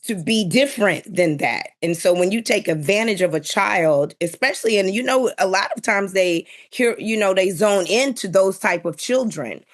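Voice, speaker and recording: A woman's voice: mid-range voice, expressive, moderate pace, few pauses. A woman in a casual panel discussion, giving opinions and explaining her thinking in an informal way. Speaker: podcast panelist.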